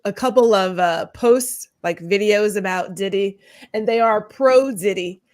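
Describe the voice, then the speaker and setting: A woman's voice: medium pitch, measured pace, a little mellow. A woman panelist giving casual opinions in a blunt, emphatic, colloquial way. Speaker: talk show panelist.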